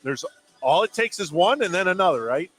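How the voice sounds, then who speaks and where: A man's voice: high-pitched, lively intonation, fairly quick, a bit bright, faint music behind, low-quality recording. An American man giving conversational color commentary and analysis during a basketball radio broadcast.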